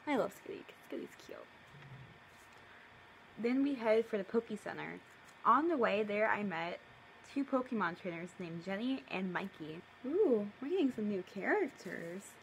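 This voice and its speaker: A young woman reads a story aloud in a casual vlog style, at a middle pitch. She keeps stepping out of the reading with playful, mock-indignant comments. It is recorded in a living room on a camera a little way off, with faint noise behind her. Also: lively intonation, measured pace, vocal fry, low-quality recording. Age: young adult. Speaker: video creator. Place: living room at home, indoors.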